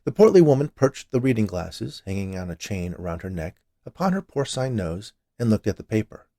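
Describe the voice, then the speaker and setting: A man reading his own short story as scripted narration, with an expressive, theatrical delivery. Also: on the low side, fairly quick, dry recording, studio quality.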